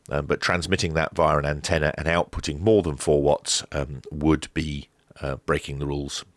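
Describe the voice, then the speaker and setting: A man's voice: low-pitched, dry recording. A man presenting a product review and tutorial as the host of his video.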